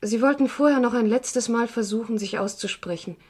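An actress playing a composed, frank woman under police questioning in a crime radio play, measured and articulate. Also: on the high side, darkish tone, lightly acted. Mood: candid, troubled. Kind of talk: German crime radio drama acting.

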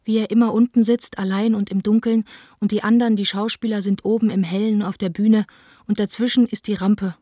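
A woman's voice: mid-range voice, steady tone, fairly quick, mellow, no room sound, clean studio sound, close to an everyday voice. An actress playing an earnest, lovelorn young woman reading her letters intimately in a radio play. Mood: wistful. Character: lovelorn, reproachful young woman, theatre director.

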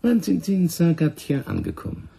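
A portly police interrogator with a very husky, crackling voice. His pitch swings widely as he toys with his suspect in sardonic, menacing insinuations. It is radio drama, sly and theatrical. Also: huge pitch swings, brisk, mellow, full character voice. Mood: probing. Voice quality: husky, gravelly. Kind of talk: radio play acting.

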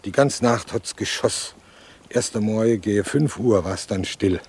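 Low-voiced rustic German villager. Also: male voice, a little mellow, slight background noise. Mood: matter-of-fact recollection. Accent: regional west-central German (Hessian-Palatinate). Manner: rustic, halting, colloquial. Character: plain-spoken village man recounting wartime shelling. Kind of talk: naturalistic eyewitness account in a radio play.

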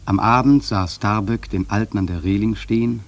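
A man acting a grave sailor who narrates a radio play in a measured, solemn literary style with dramatic swells and a husky voice. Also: on the low side, lightly acted. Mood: solemn, ominous. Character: grave male sailor narrating a haunted whaling voyage.

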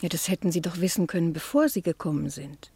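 Timid, breathy, sheltered spinster. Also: female voice, lowish voice, fast talker, darkish tone. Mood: naive, confiding. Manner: hesitant, breathy, shy. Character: timid, sheltered, naive spinster niece, nervous and wistful. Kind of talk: 1960 German radio play acting.

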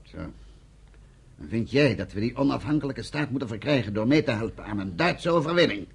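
An actor playing a pragmatic militia leader in a radio play, a man speaking in a deliberate, persuasive way. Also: medium pitch, expressive, vocal fry, breathy edge, heavily acted. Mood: firm, persuasive. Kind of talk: Dutch radio play acting.

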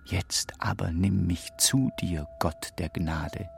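A man's voice: low voice, slow pace, vocal fry, noisy background, full character voice. An actor playing a despairing, confused king who pleads with God in a theatrical radio play monologue. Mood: weary longing. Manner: theatrical, swinging between whispers and outcries. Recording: music behind the voice. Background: music.

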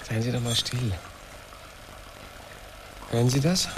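A man's voice: medium pitch, slow, close to an everyday voice. A man acting in a German radio play as a tormented, obsessive engineer, agitated, pleading and insistent. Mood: anxious, obsessed. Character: tormented, obsessive male engineer hounded by a whirring. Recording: clearly noisy old radio production.

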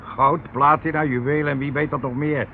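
An actor playing a mocking, menacing uncle who runs a criminal scheme in a radio drama, teasing and commanding. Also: male voice, a little high, level tone, moderate pace, close to an everyday voice. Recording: tinny band-limited old radio recording, noisy.

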